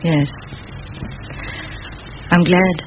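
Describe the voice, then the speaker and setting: Brisk schoolmistress, noisy old radio. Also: female voice, on the low side, fairly even intonation. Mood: moved, gentle. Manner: crisp, brisk, no-nonsense. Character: determined, brisk, dryly witty middle-aged schoolmistress. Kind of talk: 1940s radio drama acting. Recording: dull, noisy vintage radio recording.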